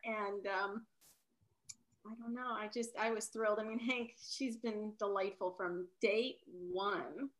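A woman's voice: fairly high pitch, a bit bright, low-quality recording. A woman novelist whose first language is Italian, talking casually in an interview, often trailing off and rephrasing.

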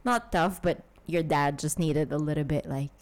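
Low-voiced woman hosting a chat. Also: moderate pace, crowd noise. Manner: encouraging, frequent backchannels. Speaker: host. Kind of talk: casual interview.

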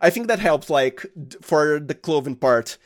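A Brazilian man, a native Portuguese speaker, chatting casually in an interview and often restarting his phrases haltingly. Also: fairly high pitch, lively intonation. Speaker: sound designer, interview guest.

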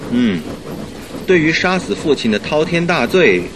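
A man's voice: sing-song, a little slow. An actor playing a detective's curious, skeptical male companion who questions things in a radio drama. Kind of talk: Mandarin radio drama acting. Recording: noisy old radio drama, train rumble behind.

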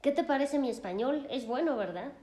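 A theatrical young man who swings between self-pity and boasting, put on by a woman. His voice sits a little higher than hers, with a mellow tone in a roomy space. The recording has noticeable echo. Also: expressive, brisk. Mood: boastful. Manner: dramatic, self-pitying, boastful.

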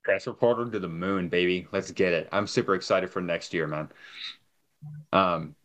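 Very low-voiced guy hosting a sports podcast, chill and hyped. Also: moderate pace. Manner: relaxed, hypes up, asks long questions. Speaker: podcast host. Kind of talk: casual sports podcast chat.

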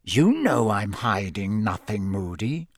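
A man's voice: lowish voice, very animated, slow pace, no room sound, studio quality, pitched below the performer's own voice, heavily acted. A man voicing a cold, suspicious male professor for an audiobook, menacing and curt, with clenched, hissing words. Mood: seething. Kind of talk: audiobook character voice.

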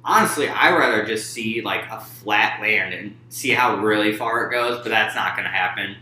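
Man, podcast co-host, low voice with a bright, forceful edge, halting delivery. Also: echoey room, slight background noise, rough recording. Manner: halting, many fillers and restarts. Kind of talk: casual sports chat.

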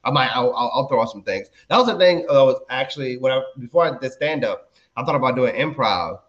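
A man's voice: medium pitch, expressive, fairly quick, fairly bright tone. Laid-back rambling man, lo-fi sound. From DMV area, US. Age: 30s. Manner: laid-back, rambling, many fillers and restarts. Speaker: guest comedian. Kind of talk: casual podcast chat.

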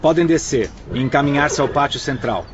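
A man's voice: medium pitch, brisk, lightly acted. An actor in a radio drama playing a courteous male official guide who speaks in a formal, declaiming way. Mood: formal, instructing. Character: orderly male official guide receiving newcomers in the afterlife. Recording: dull radio drama, faint noise.

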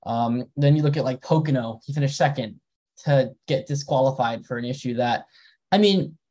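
A young man with a mellow, warm tone co-hosts a racing podcast. He talks loosely and casually, with plenty of "um" and "like". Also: mid-range voice, normal speed. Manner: relaxed, many fillers like "um" and "like". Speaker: podcast co-host and writer.

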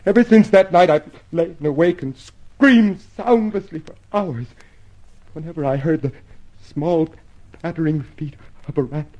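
A man's voice: a little high, unhurried, a little mellow, natural voice, poor audio. An actor playing a haunted, earnest male rebel in a radio drama, speaking with intense, hesitant, confiding delivery. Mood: haunted, anguished.

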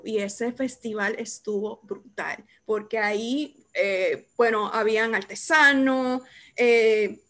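A young adult woman hosting a casual chat, lively and quick to laugh. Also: on the high side. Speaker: host. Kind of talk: casual conversation.

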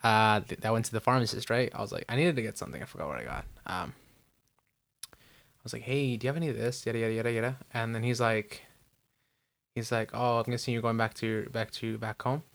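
A young American man chatting on a podcast, quick and bright in tone. He tells stories animatedly, switching into quoted voices and swearing freely. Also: medium pitch, gravelly. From United States. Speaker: podcast co-host. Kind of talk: casual chat.

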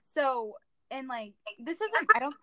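A woman's voice: high voice, very animated, a little slow, bright, forceful tone, dry recording. A teenage girl co-hosting a podcast, chatting casually and earnestly, a little self-conscious. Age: teens. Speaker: podcast co-host. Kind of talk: casual chat.

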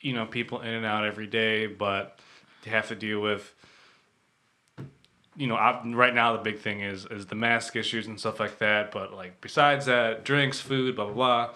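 A man's voice: lowish voice, fairly even intonation, normal speed, pushed and bright. A man hosting a casual podcast, talking in a loose, rambling way with lots of fillers. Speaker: podcast host.

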